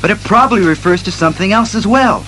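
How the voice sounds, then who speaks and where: An actor playing an earnest, methodical masked detective hero who reasons aloud in a declamatory audio drama style. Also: male voice, sits high, lively intonation, sharp tone, faint music behind, poor audio. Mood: earnest, resolute. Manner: deliberate, declamatory deduction.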